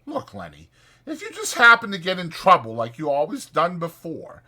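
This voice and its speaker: A man performs a wiry, bossy drifter in an audiobook story. The voice is lifted and lively, with brisk, insistent, folksy talk and an irritable, protective edge. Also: high-pitched, voice pushed up, full character voice. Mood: instructive, stern. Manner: brisk, insistent, colloquial delivery. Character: small, sharp, irritable male ranch drifter, bossy yet protective.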